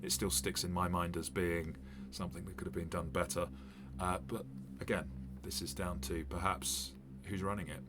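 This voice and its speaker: A man's voice: low-pitched, flat delivery, normal speed, hardly any pauses, noisy background. A man who runs tabletop games, giving a casual, rambling commentary while unboxing a game set, with many fillers and restarts. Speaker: game master and reviewer.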